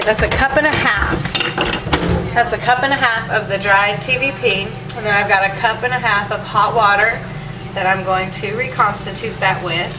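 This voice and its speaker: Stuffy-nosed woman chatting through a recipe. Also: on the high side. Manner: chatty, relaxed, lots of ums and asides. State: stuffed up from allergies, sniffling. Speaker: home cook showing a recipe. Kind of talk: casual cooking demo. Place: home kitchen. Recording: echoey room, distant mic, hiss.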